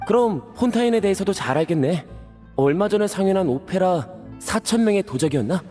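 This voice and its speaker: A man's voice: high voice, lively intonation, a little fast, dark tone. An actor playing a talkative, friendly young man in a video game, casual and sociable. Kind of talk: Korean video game voice acting. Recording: long reverb, game music behind. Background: music.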